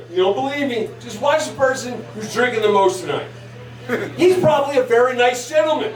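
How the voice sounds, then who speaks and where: A man in his forties doing a crude stand-up set, profane and brash, shouting at the crowd. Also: very high-pitched. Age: 40s. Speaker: stand-up comedian. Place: large hall at a live show. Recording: echoey hall recorded from a distance with crowd noise. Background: laughing crowd.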